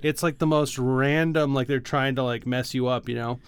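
A man's voice: medium pitch, steady tone, moderate pace, smooth. A man co-hosting a podcast, talking casually and technically about music in an informal way peppered with "like" and "you know". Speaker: podcast co-host.

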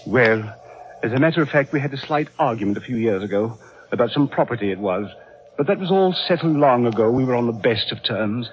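Casting: male actor for shaken gentleman, melodramatic old-time radio delivery, faint noise on a dull vintage recording. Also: mid-range voice, animated, brisk, lightly acted. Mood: shaken, earnest. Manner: agitated, faltering, melodramatic. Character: distraught, horror-struck English gentleman reporting a family tragedy.

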